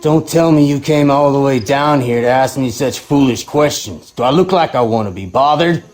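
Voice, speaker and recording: An actor playing a surly, menacing local man in a video game, gruff and dismissive. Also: mid-range voice, normal speed, darkish tone, soft background music, natural voice. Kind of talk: video game character acting.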